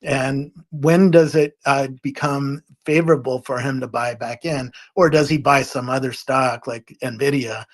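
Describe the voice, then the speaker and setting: Man giving measured, analytical commentary. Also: mid-range voice, steady tone. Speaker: panelist. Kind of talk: casual market commentary.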